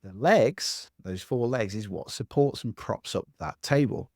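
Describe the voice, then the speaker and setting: A man, a public speaking coach giving a lively interview answer from home, acting out voices as he talks. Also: fairly low pitch, unhurried, vocal fry, no echo, clean studio sound. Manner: animated, acts out other people's voices.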